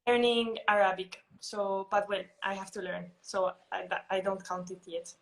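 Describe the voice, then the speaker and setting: A woman's voice: mid-range voice, fairly even intonation, moderate pace, gravelly, rough recording. A young woman answering interview questions casually, thoughtful and full of fillers and restarts. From Spain. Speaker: interviewee, national team footballer.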